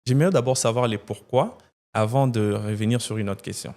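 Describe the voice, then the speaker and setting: A man's voice: medium pitch, brisk, darkish tone. A man interviewing a guest, asking long, rambling questions full of fillers and restarts.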